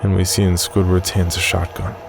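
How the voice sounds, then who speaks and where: Very deep, gravelly man narrating horror. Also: flat delivery, brisk, music in the background. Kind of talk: scripted first-person horror story narration.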